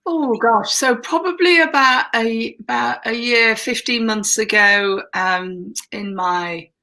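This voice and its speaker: A woman's voice: fairly high pitch, slow, bright, forceful tone. A woman co-hosting a casual faith conversation, talking at length with many fillers, from a converted church. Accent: non-Yorkshire. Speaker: ministry leader and co-host. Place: converted church tower room.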